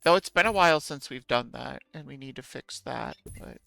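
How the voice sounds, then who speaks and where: A man's voice: mid-range voice, brisk, gravelly, sharp tone, no echo, faint music behind. A man streaming a game, chatting casually with viewers in a musing, self-deprecating way.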